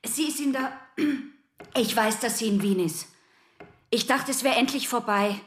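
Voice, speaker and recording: An actress playing a distraught, fragile but stubborn daughter in a radio drama, with a husky, breathy voice. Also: female voice, on the high side, a little slow. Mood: accusing, distressed.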